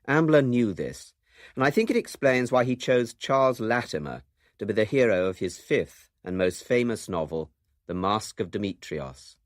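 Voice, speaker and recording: A man's voice: on the low side, lively intonation, normal speed, dry recording, studio quality. A British man presenting a scripted radio documentary in a wry, urbane way.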